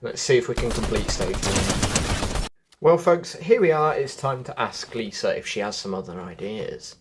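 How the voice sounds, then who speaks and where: A man's voice: fairly low pitch, lively intonation, moderate pace, slight background noise. Chatty man hosting a game walkthrough. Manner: chatty, addresses viewers as folks. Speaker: gaming video host. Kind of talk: casual game walkthrough commentary.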